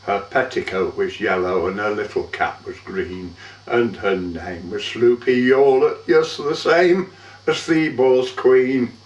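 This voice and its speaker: A man recites poetry as a dramatic monologue at a slow, measured pace, stretching the ends of his lines theatrically. The recording is dull and echoey, as if he stands well away from the microphone. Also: fairly low pitch. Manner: measured, theatrical, drawn-out line endings. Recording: dull, echoey room, far from mic.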